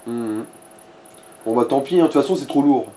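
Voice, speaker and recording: A man's voice: mid-range voice, normal speed, dark tone. A man giving casual, laid-back game advice to a friend in crude slang. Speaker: let's play co-commentator.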